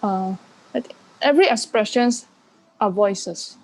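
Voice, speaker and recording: A woman giving reflective answers as an interview guest, haltingly searching for her words. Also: mid-range voice, darkish tone, low-quality recording. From Malaysia. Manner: halting, searching for words in non-native English. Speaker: art curator and panel guest.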